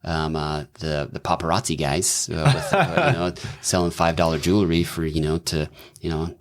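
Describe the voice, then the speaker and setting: A man's voice: a bass voice, normal speed. A middle-aged man, a doctor and businessman, talking as a podcast guest in a thoughtful, rambling way with many fillers and restarts. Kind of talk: casual conversational interview.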